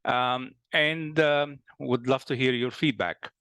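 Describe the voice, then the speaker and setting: A man's voice: mid-range voice, fairly even intonation, slow, a bit bright, smooth, dry recording. A Lebanese-accented man around sixty, a company founder telling his life story in a hesitant way, with many fillers and restarts. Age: about 60. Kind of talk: personal life story monologue.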